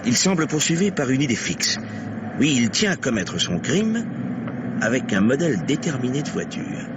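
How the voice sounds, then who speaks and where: A dull, noisy old radio-play recording carries a police lieutenant with a low, widely swinging voice. He is methodical and dogged, putting his questions deliberately and then pressing with emphasis. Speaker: police investigator. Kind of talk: French radio mystery play acting.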